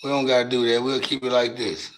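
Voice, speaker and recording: Raspy man hosting a casual livestream. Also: mid-range voice, level tone, quick pace, fairly bright tone. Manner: loose, profane, drawling street talk. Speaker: livestream host. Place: at home on a couch. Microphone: phone mic.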